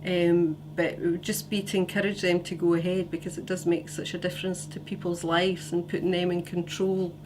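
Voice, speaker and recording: A middle-aged Scottish woman answers interview questions slowly, with many pauses and fillers. Her voice sits a little low. A steady hum and noise run under the recording. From Scotland. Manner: gentle, pausing with many fillers. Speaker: interviewee, parent carer.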